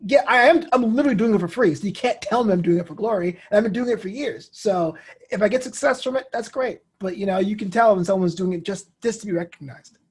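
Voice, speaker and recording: High-pitched, husky American man. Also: fast. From Brooklyn, United States. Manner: animated storytelling, acts out inner quotes. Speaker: interview guest, comedian and host. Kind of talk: candid conversational interview.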